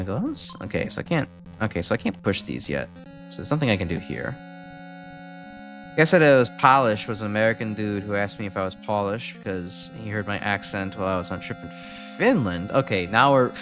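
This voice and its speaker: Young Chicago-accented male streamer, low-pitched, loose and rambling delivery, soft music underneath. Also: lively intonation, brisk. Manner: rambling, self-correcting, chuckling. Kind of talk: casual game stream chat.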